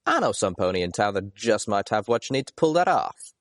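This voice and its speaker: A man's voice: lowish voice, sing-song, brisk, dry recording, studio quality. A man voicing a folksy, teasing, loyal cowboy pony with drawling country talk, in a storybook reading. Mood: determined.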